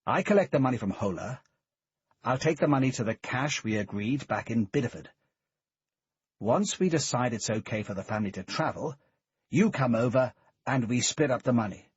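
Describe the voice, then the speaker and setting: A man reading the part of a hard, determined male team leader who talks in a blunt, clipped way with a husky voice. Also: medium pitch, lively intonation, moderate pace, no room sound. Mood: decisive. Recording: dull lo-fi recording.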